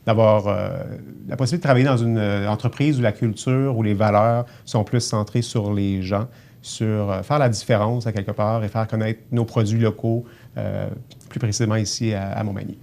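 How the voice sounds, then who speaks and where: Earnest Québécois man, low voice. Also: fairly even intonation, moderate pace, a little mellow. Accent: Québécois French. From Abitibi, Quebec. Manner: earnest, many hesitations and "euh" fillers. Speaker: grocery store general manager, guest. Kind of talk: casual TV interview.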